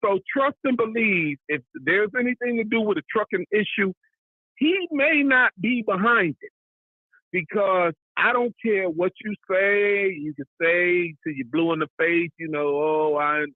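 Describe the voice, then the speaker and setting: A man's voice: high voice, measured pace, no room sound. An American man in a casual political discussion, speaking emphatically and repeating himself to drive his point home. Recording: muffled narrow-band radio-like line.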